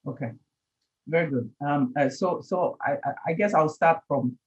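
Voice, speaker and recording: Husky Ghanaian-born professor, dull call audio. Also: male voice, a little high. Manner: measured, explanatory, stutters on repeated words. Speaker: professor, guest. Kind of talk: interview answers.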